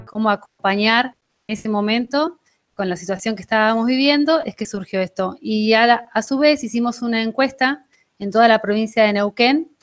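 Higher-pitched Argentine woman presenting. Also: moderate pace, faint music behind. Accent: Argentine Spanish. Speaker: workshop organiser. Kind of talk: online presentation. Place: indoor kitchen at home. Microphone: earphone mic held near mouth, video call.

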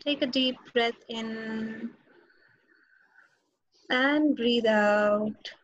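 A woman with an Indian accent leads a guided meditation as a teacher, giving slow instructions with long pauses between them. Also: a little high, a little hiss or hum. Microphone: video call. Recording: dull, muffled webinar audio.

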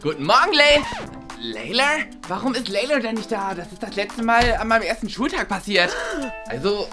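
A woman's voice: mid-range voice, big pitch swings, normal speed, over music, lightly acted. A woman playing Bibo, a prim, lecturing schoolgirl who complains about manners. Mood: confused. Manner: prissy, lecturing, whiny. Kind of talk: comedy web series acting.

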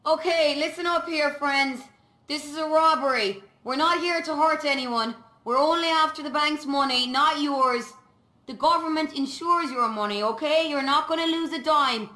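A firm but reassuring woman robbing a bank in a game. Her voice is forceful and high, in an echoey space. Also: moderate pace. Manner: firm, direct. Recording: roomy echo.

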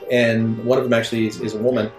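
A man with a mid-pitched voice works as game master, improvising narration in a halting, conversational flow. He sounds like he is in an echoey room, with guitar music underneath. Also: level tone. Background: soft guitar music.

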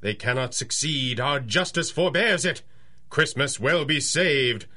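An actor playing an earnest, patient radio-show star, coaxing and explaining in comic old-time radio style. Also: male voice, mid-range voice, measured pace, sharp tone. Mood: heroic resolve.

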